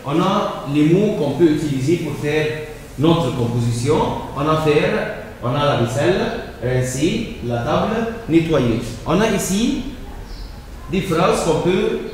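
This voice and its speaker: A man walks through French exercises like a teacher, slow and halting, often repeating himself. His fairly high voice sits far back in a reverberant room with long echo. A faint hum of room ambience runs underneath. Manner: hesitant, repeats words and fills pauses with euh.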